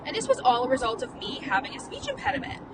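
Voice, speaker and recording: A woman in her late twenties with a stutter, talking through a candid, rambling vlog monologue full of "like" fillers and the occasional swear. Her voice sits a little high. The mic is set back from her in the car, and steady road noise is clearly heard. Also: fast talker. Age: late 20s. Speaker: life coach and podcast host. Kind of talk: casual vlog monologue while driving. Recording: distant phone mic in a noisy car.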